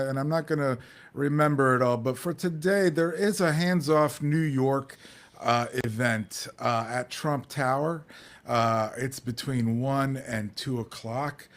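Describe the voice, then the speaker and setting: A middle-aged Mexican American man co-hosting a community talk show, speaking earnestly with many restarts and fillers. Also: a little high. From United States. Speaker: podcast co-host.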